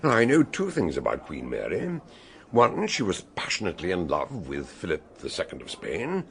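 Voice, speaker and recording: A man acting a shrewd, genial gentleman detective with a British accent in a radio drama, musing and courteous, a little theatrical. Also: sits low, huge pitch swings, moderate pace, gravelly, a little hiss or hum, heavily acted.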